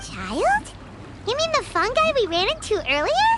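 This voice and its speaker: A woman voicing a tiny, excitable childlike female companion in a video game, exclaiming and talking about herself in the third person. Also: extremely high pitch, normal speed, heavy background noise, full character voice. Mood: curious. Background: game music.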